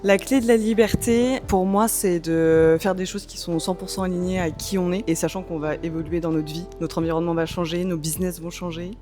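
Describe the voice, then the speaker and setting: A woman's voice: on the low side, steady tone, a little fast, few pauses, mellow. Woman entrepreneur, confident, reflective, faint music. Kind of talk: casual interview answer.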